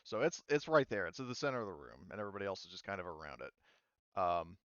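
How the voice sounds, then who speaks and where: A man's voice: sits low, animated, fast talker, fairly bright tone, clear, resonant tone, dry recording, muffled recording. A man running a tabletop game as game master, rambling and restarting, with lots of fillers.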